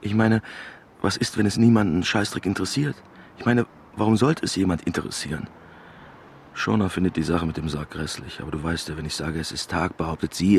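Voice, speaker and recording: A man's voice: sits low, vocal fry, slight background noise. An actor playing a stubborn, weary working-class father in a naturalistic German radio drama. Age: middle-aged.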